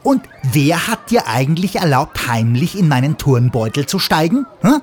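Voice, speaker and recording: Storybook figure: an indignant schoolgirl who scolds readily, lively pitch, voiced by a male narrator. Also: a little hiss or hum, lightly acted. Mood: scolding. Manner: scolding, quick to take offense.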